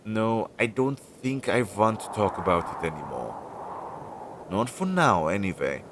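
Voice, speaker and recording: Over clearly noisy, low-quality audio comes a low-pitched crow familiar played by a man. He is anxious and devoted to his witch, speaking very slowly and hesitantly in an intimate audio drama style. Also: very animated. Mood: hesitant, gentle. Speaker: voice actor in audio roleplay. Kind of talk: intimate second-person audio roleplay acting.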